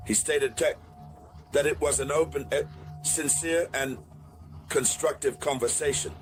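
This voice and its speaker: A man hosting an online political news analysis, speaking deliberately to viewers and repeating key phrases. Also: a little high, faint music behind.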